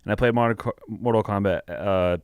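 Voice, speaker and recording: A man's voice: fairly low pitch, fairly even intonation, brisk, a little mellow, smooth, no room sound, clean studio sound. A man co-hosting a podcast in loose, casual conversation. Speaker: podcast host. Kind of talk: casual chat.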